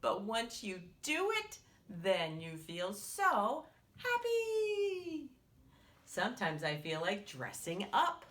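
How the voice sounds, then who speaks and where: Very animated, slow-paced woman reading to children. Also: mid-range voice. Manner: animated, exaggerated expression, asking the child questions and pausing for answers. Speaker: children's story time reader. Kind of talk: read-aloud picture book storytelling for young children.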